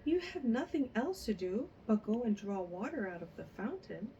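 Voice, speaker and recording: Woman doing a shrill, excitable mother. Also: normal speed, muffled recording, a little hiss or hum. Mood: shrill excited. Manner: loud, shrill exclamations.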